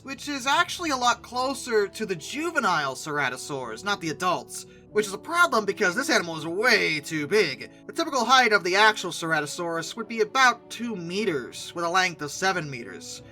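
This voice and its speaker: Lively, bright-voiced video commentator with wry asides. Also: mid-range voice, a little fast, few pauses. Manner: conversational, wry, playful emphasis and asides. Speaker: video host and narrator. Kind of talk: casual scripted commentary rating film dinosaurs. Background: background music.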